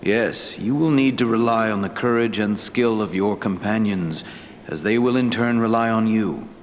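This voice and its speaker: An actor playing a wise, ancient elf lord in a fantasy game, speaking in a measured, formal way. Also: male voice, on the low side, slight background noise, close to an everyday voice. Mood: grave counsel. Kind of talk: scripted fantasy game dialogue.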